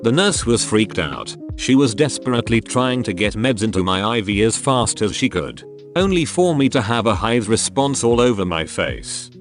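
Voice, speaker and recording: A man's voice: lowish voice, expressive, moderate pace. A man reading out forum stories as narration over background music. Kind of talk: read-aloud narration.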